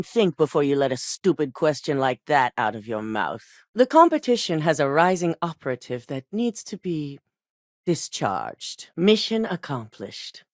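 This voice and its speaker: Cold game CEO: low, expressive voice, icy and commanding, speaks down to her hires. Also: no echo, studio quality. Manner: curt, stern, condescending. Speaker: company chief giving orders. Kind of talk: video game voice acting.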